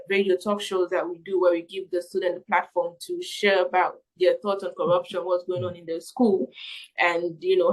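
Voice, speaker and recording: A young woman speaking as a missionary being interviewed, giving reflective answers in an earnest, halting way as she thinks aloud. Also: fairly low pitch, poor audio. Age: young adult.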